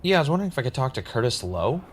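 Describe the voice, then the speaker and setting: A man acting as a wry, uneasy podcast host in an audio drama, with a casual, halting, conversational delivery. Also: medium pitch, big pitch swings, slight background noise, natural voice. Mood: awkward. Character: Ray, wry, uneasy male podcaster investigating his own death.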